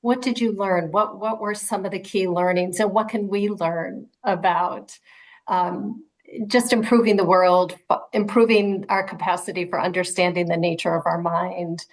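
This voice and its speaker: Host type: older woman, somewhat low voice, rambling questions, video call audio. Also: rough recording. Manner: warm, rambling questions with fillers. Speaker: interviewer, host. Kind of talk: casual interview. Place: small room at home.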